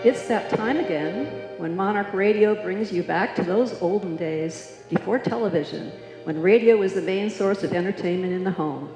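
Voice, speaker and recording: A woman's voice: mid-range voice, normal speed, over music. A woman announcer giving a scripted introduction in old-time radio style on a live stage. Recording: echoey hall, live stage.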